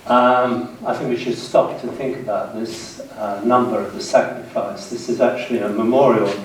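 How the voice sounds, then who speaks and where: Distant microphone, faint noise, British man in his sixties, measured lecture delivery, short phrases with pauses. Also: mid-range voice, steady tone. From United Kingdom, west of London. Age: 60s. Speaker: guest lecturer. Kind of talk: public history lecture. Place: indoor seminar room, speaking to an audience. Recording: very echoey room, distant mic, faint noise.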